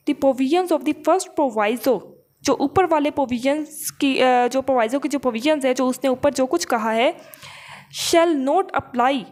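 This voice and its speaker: A woman's voice: high-pitched, darkish tone. A woman gives an explanatory law lecture in a didactic way, often checking in with short tag questions. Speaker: law teacher. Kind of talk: explanatory lecture, reading English legal text then explaining it.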